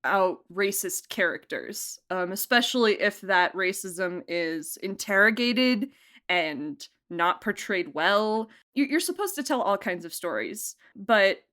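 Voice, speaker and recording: A woman producer and director talking casually about her audio drama, chatty and quick to laugh, with lots of "like" fillers. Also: mid-range voice, animated, a bit bright. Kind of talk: casual interview answers.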